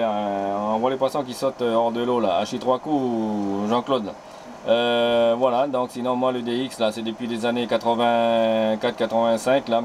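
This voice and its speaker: Relaxed New Caledonian radio operator. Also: male voice, medium pitch, a little hiss or hum. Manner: relaxed, fills talk with "là". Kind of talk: CB radio contact.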